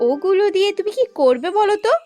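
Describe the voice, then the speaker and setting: Woman acting a high-voiced fretful young bride. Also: lively intonation, quick pace, mellow, faint music behind. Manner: fussing, questioning. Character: fretful, scolding fifteen-year-old village bride. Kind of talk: cartoon voice acting. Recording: clear noise of rain behind.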